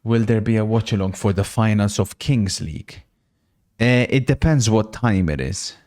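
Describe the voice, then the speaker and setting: A man's voice: lowish voice, moderate pace, darkish tone. A man hosting a live football watch-along stream, chatting casually, reacting to the match and reading out viewer messages.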